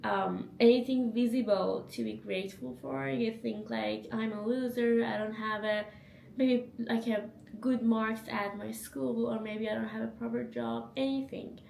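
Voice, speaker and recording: A woman's voice: a little high, fairly even intonation, unhurried, gravelly, a little mellow, reverberant, slight background noise. Chatty young woman vlogging from home. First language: not English. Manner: chatty, upbeat, self-reflective. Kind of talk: casual vlog narration. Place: home apartment.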